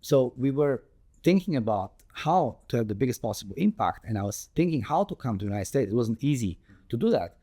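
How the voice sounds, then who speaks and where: Earnest man, mellow voice. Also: mid-range voice, big pitch swings. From Bulgaria. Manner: earnest, measured, with frequent ums and restarts. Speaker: tech founder and guest. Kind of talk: telling his life story in an interview.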